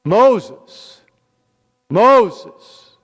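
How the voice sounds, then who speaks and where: A man's voice: high voice, big pitch swings, drawn-out words, fairly bright tone, breathy edge, pitched above the performer's own voice, exaggerated voice. A man voicing God in a scripture reading as a commanding, solemn divine figure, emphatic and declamatory.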